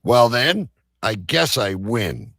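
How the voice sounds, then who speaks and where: A man's voice: mid-range voice, very animated, slow, fairly bright tone, no room sound, studio quality, voice pushed up, exaggerated voice. A man playing a brash, taunting office bully in an amateur live audio drama. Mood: cocky.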